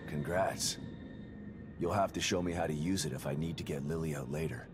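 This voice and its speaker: A man playing a gruff, weary, determined game protagonist, speaking tersely and dryly in a low voice. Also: a little fast, over music. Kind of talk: video game cutscene acting.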